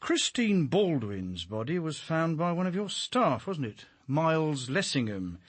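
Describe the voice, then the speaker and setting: Husky, higher-pitched British needling inspector. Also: male voice, very animated, unhurried, no room sound. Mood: needling, sardonic. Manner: probing, dryly insinuating, polite on the surface. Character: shrewd, needling male police inspector questioning a suspect. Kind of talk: British radio drama acting.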